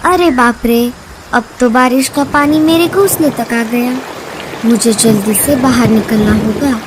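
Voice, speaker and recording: Cartoon casting: woman as tender, anxious mother bird, very high voice, unhurried, dramatic exclamations. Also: noisy background, close to an everyday voice. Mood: worried. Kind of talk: Hindi children's cartoon dubbing.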